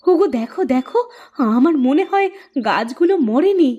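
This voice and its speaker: A woman voicing a caring, hopeful village housewife in a cartoon story, expressive and coaxing. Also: high-pitched, moderate pace, lo-fi sound.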